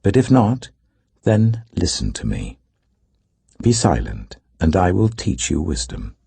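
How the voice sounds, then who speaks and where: A man's voice: low voice, huge pitch swings, slow pace, vocal fry, warm tone, husky, no room sound, full character voice. A British man voicing an indignant, earnest man who lectures wise elders in a grave, imperious, declamatory way, in a scripture audiobook. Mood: commanding.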